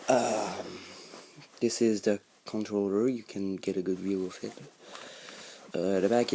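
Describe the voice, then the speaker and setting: A young man with a deep voice and a French Canadian accent, speaking English as a second language. He talks slowly and haltingly, full of ums and restarts, as he walks through a build in a casual tutorial. A faint noise runs under the recording. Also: a little mellow. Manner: hesitant, many ums and restarts. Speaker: hobbyist video maker. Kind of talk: casual show-and-tell tutorial.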